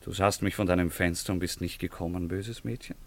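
A man's voice: low-pitched, fairly even intonation, brisk. An actor playing an ardent, playful young nobleman in a theatrical radio play. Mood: tender, teasing.

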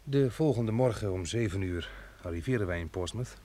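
An actor playing a steady, resolute man who leads survivors in a radio drama. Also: fairly low pitch, normal speed. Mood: earnest, reflective. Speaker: lead actor and narrator in a radio play.

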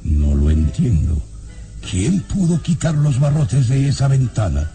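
An actor playing a haughty, imperious castle baron in an old radio drama, evasive and threatening. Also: male voice, mid-range voice, big pitch swings, unhurried, warm tone, soft background music. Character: haughty, menacing male baron, owner of a castle.

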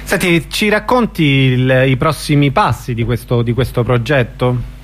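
Guy hosting a radio interview, mid pitch, informal, little mm-hms between questions. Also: measured pace, a little hiss or hum. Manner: informal, murmurs acknowledgements.

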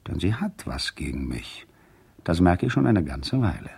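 A man's voice: low voice, sing-song, moderate pace, creaky, a little mellow, slightly rough, full character voice. An actor playing a breezy, unworried businessman husband, reading out chummy, emphatic messages to an old friend in a radio play. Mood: chummy, puzzled.

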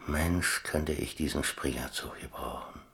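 An actor playing an old seaman in a radio play, reminiscing in a wry, colloquial, musing way with a gravelly voice. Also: rumbling low, unhurried, fairly bright tone, exaggerated voice. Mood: musing, amused. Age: older. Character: old seaman reminiscing about chess, wry, rambling storyteller. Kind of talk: radio play monologue, colloquial first-person storytelling.

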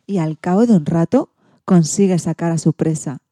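A woman reading a children's storybook in an expressive, theatrical way. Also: fairly low pitch, moderate pace, slight background noise. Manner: theatrical, acts out sound effects and exclamations.

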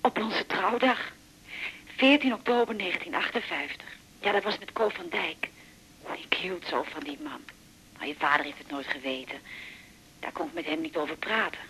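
An actress playing a chatty, nosy middle-aged mother in a naturalistic radio drama, fussy and quick to interject. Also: fairly low pitch, fairly bright tone, slightly rough, full character voice, poor audio. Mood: wistful, chatty. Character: chatty, nosy widowed mother of grown daughter.

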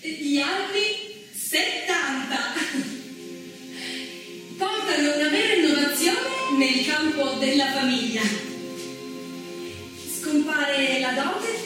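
Far from the mic in a very echoey space with music behind, a didactic female narrator of women's history. She has a high voice and speaks expressively in a theatrical monologue, with dramatic pauses. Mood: narrating. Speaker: stage actress. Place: theatre stage, recorded from afar.

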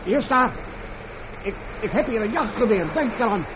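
A muffled, noisy old radio play recording. a fawning country innkeeper in a high-pitched voice. He gushes anxiously and humbly at his betters. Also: expressive, normal speed. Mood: wary, then relieved. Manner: effusive, obsequious, nervous.

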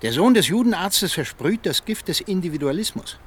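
An actor playing a haughty, hostile old field marshal, curt and contemptuous, in a German radio drama. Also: male voice, high-pitched, very animated, a little fast, husky, exaggerated voice.

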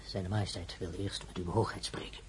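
An actor playing an exhausted, subdued officer in a radio drama, formal and hushed. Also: male voice, sits low, little pitch movement, a little fast, slight background noise, close to an everyday voice. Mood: weary, subdued. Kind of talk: Dutch-language radio drama acting.

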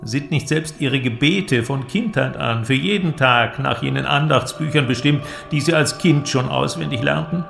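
An actor playing an eloquent, cynical inventor who argues in a declamatory, creaky voice in a literary audio drama. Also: male voice, medium pitch, moderate pace, hardly any pauses, fairly bright tone, soft background music. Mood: persuasive, mocking. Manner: declamatory, argumentative, rhetorical. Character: male inventor, cynical, eloquent philosophical persuader.

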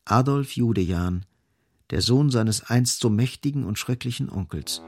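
A man's voice: medium pitch, unhurried, a little mellow, a little hiss or hum. A man reading literary scripted narration as the narrator of a radio drama.

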